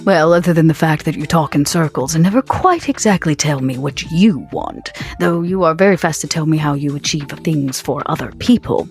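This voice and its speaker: A woman's voice: low-pitched, gravelly, a little mellow, faint music behind. Woman playing a poised, coy vampire businesswoman. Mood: inquisitive, teasing. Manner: formal, coy, deliberate. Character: poised, probing, elegant female vampire businesswoman named Vera. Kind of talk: improvised roleplay acting.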